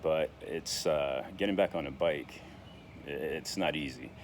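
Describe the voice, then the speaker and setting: A man in his sixties talking casually in a vlog, halting with many fillers and restarts. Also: very deep voice, slight background noise. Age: 60s. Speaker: vlogger.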